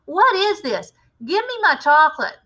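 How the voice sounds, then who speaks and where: A woman voicing a bratty, greedy young boy in a children's story, loud, indignant and insolent. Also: very high-pitched, big pitch swings, unhurried, voice pushed up, exaggerated voice. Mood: indignant, suspicious.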